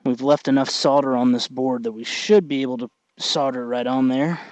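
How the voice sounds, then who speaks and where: A man's voice: medium pitch, fairly even intonation, dry recording. Man talking through hands-on work, dry sound. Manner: halting, thinking aloud while working. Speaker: tutorial host. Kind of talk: casual hands-on tutorial narration.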